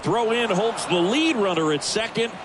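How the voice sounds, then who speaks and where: A man's voice: high voice, expressive, normal speed, heavy background noise. A man playing a baseball play-by-play announcer, calling the action in a video game's sports commentary. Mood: steady, attentive.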